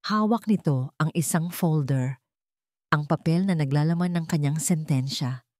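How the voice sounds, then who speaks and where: A woman's voice: low-pitched, normal speed, warm tone, no room sound, clean studio sound. A woman reading scripted fiction as a dramatic story narrator.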